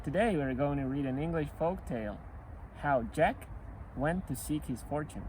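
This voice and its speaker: A man reading a folk tale for children as a storyteller, in a sing-song, deliberate and expressive way. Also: fairly high pitch, slight background noise, low-quality recording. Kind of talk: scripted children's storytelling narration.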